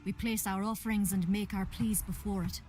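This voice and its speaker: A woman's voice: mid-range voice, fairly even intonation, brisk, a little mellow, soft background music, natural voice. A woman playing a stern priestess in a fantasy game, formal and grave. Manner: formal, solemn. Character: stern, grave priestess recounting sacred lore. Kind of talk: fantasy video game acting.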